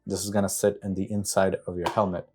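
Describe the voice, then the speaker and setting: Low, mellow-voiced man casually unboxing gear. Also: little pitch movement, fast talker, slight background noise. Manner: tentative, thinking aloud while handling parts. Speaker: product reviewer, motorcycle rider. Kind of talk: casual unboxing walkthrough, talking to viewers.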